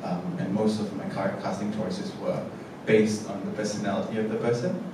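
Low-voiced director in an echoey hall. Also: male voice, little pitch movement. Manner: halting, many fillers. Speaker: theatre director. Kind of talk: casual panel chat. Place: indoor theatre stage. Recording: echoey theatre, distant mic, noisy.

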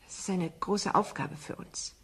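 A woman playing an earnest, enthusiastic language researcher in a radio play, with a husky, breathy voice. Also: fairly low pitch, unhurried, fairly bright tone, exaggerated voice.

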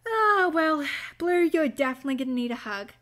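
A woman's voice: sits high, big pitch swings, a little fast, a bit bright. A woman recording amateur voice acting outtakes, theatrical and mock-exasperated, often breaking off from her script. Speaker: amateur voice actor. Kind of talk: blooper outtakes between script reads.

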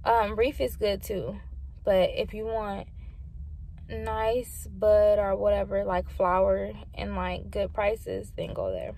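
A woman's voice: mid-range voice, expressive, slow, warm tone. Tipsy, rambling woman in a noisy car. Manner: rambling, swears freely. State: a little tipsy. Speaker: vlogger. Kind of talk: casual chat. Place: inside a car.

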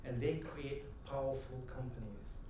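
Animated man on a conference stage. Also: medium pitch, a little slow, a little hiss or hum. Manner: animated storytelling, gestures, rhetorical questions. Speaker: conference speaker. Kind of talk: stage keynote talk with anecdotes. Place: large conference hall stage. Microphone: headset mic. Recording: echoey hall, heard from a distance.

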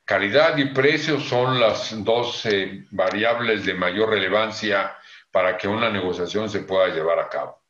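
An older man with a Mexican Spanish accent, a convention manager explaining plans in a measured way on a video call from his home office. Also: lowish voice, fairly bright tone. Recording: echoey room, dull lo-fi sound.

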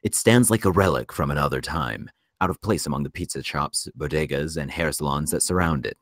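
A man narrating a screenplay at a table read in a measured storytelling delivery. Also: extremely low pitch, dry recording, clean studio sound. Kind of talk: scripted narration of stage directions.

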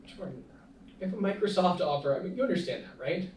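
A man playing an earnest young male student who hesitates as he talks, his voice a bit high. The table read is recorded from off in an echoey room with clear background noise. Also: lively intonation, darkish tone, distant mic. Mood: skeptical. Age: young adult. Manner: earnest, halting. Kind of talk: table read of a film script. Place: small meeting room, indoors.

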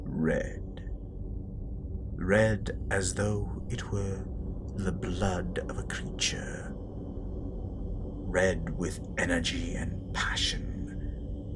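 A man playing a menacing, commanding Sith master who guides an apprentice in a breathy, deliberate half-whisper, in a scripted roleplay audio. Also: deep voice. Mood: solemn, instructing. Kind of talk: scripted roleplay meditation audio, intimate address.

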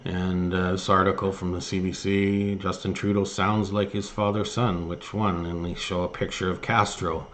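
Man, low voice, news commentator, reading aloud, halting delivery, faint background noise. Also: flat delivery, a bit bright. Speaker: host of an online news commentary show.

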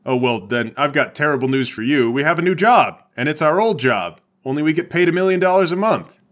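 A man's voice: fairly high pitch, expressive, fairly quick, a bit bright. A man playing a blunt, lazy, self-serving cop with brusque, casual delivery in improvised comedic roleplay. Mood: brisk.

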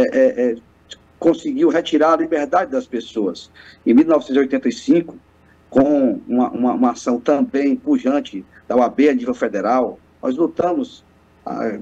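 A man's voice: medium pitch, a little mellow. A man in his thirties from Piauí, Brazil, a lawyer speaking earnestly and formally on a video call from his office, often restarting his phrases. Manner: earnest, speechlike, frequent hesitant restarts. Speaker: lawyer and guest. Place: office with bookshelves, indoors. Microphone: webcam on video call. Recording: muffled video call audio.

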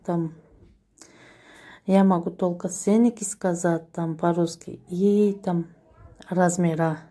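A woman's voice: lowish voice, fairly even intonation, slow pace, dark tone. Tajik-accented woman showing clothes. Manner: hesitant, with fillers and restarts. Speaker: clothing seller. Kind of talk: casual product showcase video. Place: small room at home. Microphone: handheld phone.

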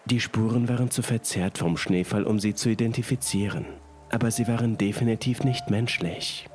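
A man's voice: lowish voice, moderate pace, darkish tone, slight background noise. A man reading a scripted first-person horror story as narrator. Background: music and rain sounds.

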